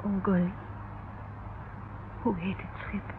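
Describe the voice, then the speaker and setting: A woman's voice: mid-range voice, little pitch movement, slow pace. An actress in a radio play playing a polite, anxious young woman held captive, earnest and pleading. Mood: timidly polite. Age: young adult. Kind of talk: Dutch radio play acting. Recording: tinny, noisy old radio play recording.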